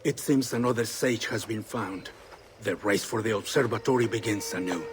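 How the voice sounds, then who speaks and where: An actor playing a stern, grave male mentor of assassins with measured, formal speech in a video game. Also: lowish voice, a little hiss or hum, natural voice. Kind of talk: video game dialogue acting. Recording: game music behind the voice.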